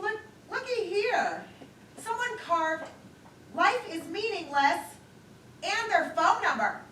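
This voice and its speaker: A woman's voice: very high-pitched, a bit bright, heavily acted. A woman acting in a live one-act play as a nervous, self-conscious woman rambling through an awkward date. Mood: awkward, chatty. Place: lakeside theater stage, recorded from afar. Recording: distant, echoey, noisy live theater recording.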